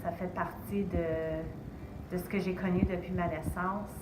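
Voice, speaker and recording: A middle-aged woman with a Canadian French accent speaks earnestly in a short interview, pausing often on fillers. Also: lowish voice, fairly even intonation. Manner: earnest, hesitant with many fillers. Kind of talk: vox-pop testimony. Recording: distant, roomy, noisy recording.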